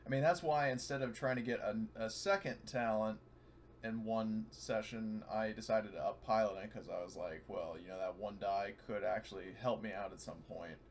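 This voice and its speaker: A man with a lowish voice chats casually as a tabletop gamer after a session. He swears now and then, and there is faint noise on his home voice-chat recording. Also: normal speed. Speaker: tabletop role-playing game player. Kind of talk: casual post-game chat.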